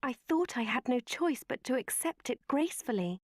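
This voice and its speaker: A woman's voice: high-pitched, animated, normal speed, no room sound, clean studio sound. A woman voicing a refined, earnest young princess with a British accent in a video game. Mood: heartfelt resolve.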